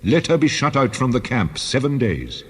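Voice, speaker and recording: An actor voicing God as a stern, solemn and commanding figure in a dramatized scripture reading. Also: male voice, mid-range voice, very animated, breathy edge. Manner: solemn, measured, authoritative. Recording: long cavernous reverb.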